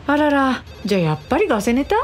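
A woman playing a frank, teasing matchmaker in a radio drama, speaking in a brisk, exclamatory, chiding way. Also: sits high, big pitch swings, faint music behind. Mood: incredulous. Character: frank, teasing female matchmaker, old friend of client.

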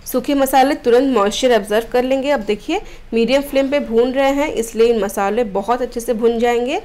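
A woman's voice: fairly high pitch, a little fast, darkish tone. A woman from Odisha, India, narrating a home cooking recipe step by step in a friendly instructional way as the host of a cooking channel. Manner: friendly step-by-step instructions, mixing English words.

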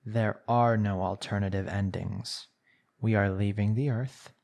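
A man's voice: lowish voice, steady tone, creaky, a little mellow. A man playing a smug, bratty villain who flirts with his captive in an intimate, condescending ASMR roleplay. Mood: menacing, possessive. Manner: teasing, condescending, unhurried pet-name sweet talk.

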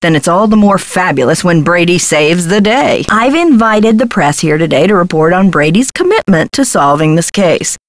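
A woman's voice: on the low side, expressive, moderate pace, hardly any pauses, dry recording, lightly acted. A woman voicing a brash, scheming Hollywood talent agent in a video game, glib and condescending, with slangy talk. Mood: slick, self-assured.

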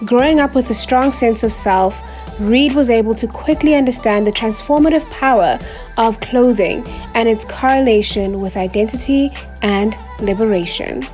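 A woman's voice: fairly high pitch, moderate pace, darkish tone. A bubbly young woman talks straight to her viewers from a small bedroom, speaking into a desk microphone. Her voice is slightly worn as she chats casually and keeps checking in with the audience. Light music sits faintly underneath. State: losing her voice. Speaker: YouTuber. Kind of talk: casual chatty vlog.

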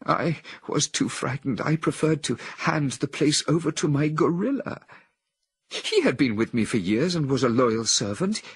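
A man's voice: a little high, lively intonation, moderate pace, husky, voice pushed up, full character voice. Man voicing a frightened anxious woman. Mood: anguished. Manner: anguished, sighing. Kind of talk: audiobook reading.

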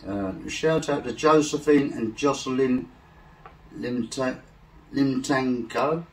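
A middle-aged English man reading shout-outs slowly and carefully in a casual home vlog, seated on a living-room sofa. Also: medium pitch, distant mic, rough recording. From England. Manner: slow, soft-spoken, careful over names. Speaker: vlog co-host. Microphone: far camera.

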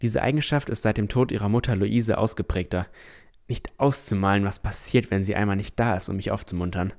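Man acting earnest boy narrator, cautious, lower-pitched, first-person fantasy audio drama. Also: a little fast, darkish tone, close to an everyday voice. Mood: reflective narration. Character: boy Noel, earnest, cautious young adventurer narrating his story.

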